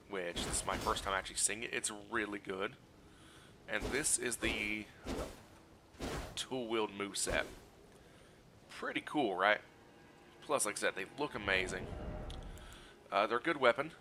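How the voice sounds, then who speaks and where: A man streaming a video game while sick, hoarse and scratchy, talking through his play in a relaxed, explanatory way and greeting his chat. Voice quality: scratchy, low energy. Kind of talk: casual gameplay walkthrough commentary.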